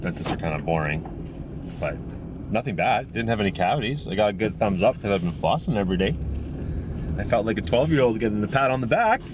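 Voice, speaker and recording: A man in his thirties with a lowish voice talks into a camera held at arm's length, upbeat and chatty with a teasing streak. A faint hum of the car and the room sits behind him. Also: expressive, quick pace. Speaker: family vlogger. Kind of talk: casual vlog chat. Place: inside a family van, then a living room.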